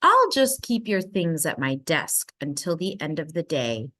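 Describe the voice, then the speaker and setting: A woman voicing a cool, kindly but firm male mouse schoolteacher in a storybook, measured and teacherly. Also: low voice, big pitch swings, pushed and bright, voice pushed down, exaggerated voice. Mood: firm, patient.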